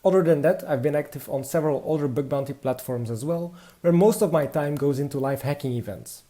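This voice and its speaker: A young man with a Belgian accent delivers a prepared conference talk in a mellow, warm voice. His pitch sits somewhat high for a man. He explains step by step and often pauses on "uh" fillers. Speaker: conference speaker.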